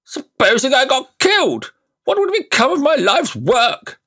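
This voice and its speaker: Squeaky blustering old wizard uncle, snooty and cruel, kinda husky. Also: male voice, huge pitch swings, a little slow, sharp tone, heavily acted. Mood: haughty, blustering. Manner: high, blustering, haughty and indignant. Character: pompous, cruel elderly male magician uncle. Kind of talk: audiobook character voice.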